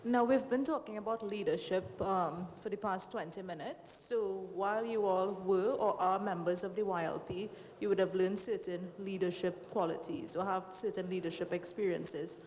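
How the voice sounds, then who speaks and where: A woman moderating a conference panel, asking questions in a measured way. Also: medium pitch, a little mellow, a little hiss or hum. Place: large conference hall. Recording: hall reverb.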